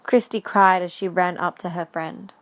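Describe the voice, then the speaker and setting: Lowish-voiced woman narrating a story. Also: steady tone, moderate pace.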